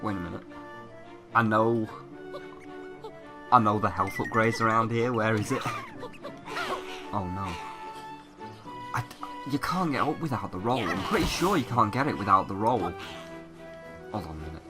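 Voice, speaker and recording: A man's voice: lowish voice, animated, normal speed, long pauses. A young man doing let's play commentary, slightly sick and stuffy, chatty and rambling with casual swearing. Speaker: gaming YouTuber. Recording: loud game music behind.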